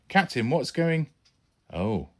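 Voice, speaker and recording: A man's voice: medium pitch, big pitch swings, slow pace, a little mellow, voice pushed up. A man voicing a helpful, alert male spaceship pilot in a children's science fiction audiobook. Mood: amazed.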